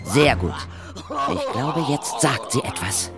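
A man playing a brisk, confident female ghost hunter in a children's radio play, husky and authoritative, with a dry explanatory manner. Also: medium pitch, expressive, loud music behind the voice. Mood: excited, assured.

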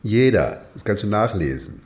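A man's voice: fairly low pitch, sing-song, normal speed, a little mellow. An actor playing a wry, self-deprecating editor in his fifties who is recovering from a stroke, speaking hesitantly and colloquially in a naturalistic radio play. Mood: wry, chatty. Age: 50s.

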